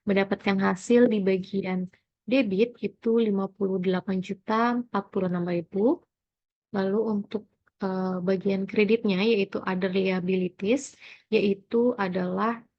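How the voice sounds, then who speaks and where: Young woman, mid pitch, hesitant tutorial delivery, thinking aloud, dull lo-fi sound. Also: no room sound. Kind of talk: informal accounting tutorial walkthrough.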